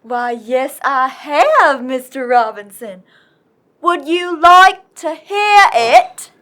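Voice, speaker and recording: Sweet but boastful young Texan woman, gushing, high and swooping, for radio comedy. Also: expressive, slow, sharp tone, full character voice. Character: sweet, boastful young Texas belle, relentlessly folksy. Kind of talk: radio play comedy acting.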